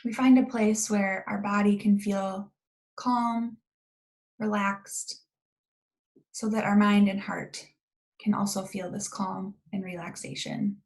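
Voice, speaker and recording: A woman guides a meditation in a calm and gentle manner. Her voice often settles into a pronounced creak. She speaks without hurry, leaving long pauses between short phrases. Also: medium pitch.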